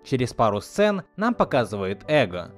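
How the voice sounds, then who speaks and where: A man hosts a scripted video essay. His mid-pitched voice moves up and down in a lively way as he narrates, with soft music underneath. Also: normal speed. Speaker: video host.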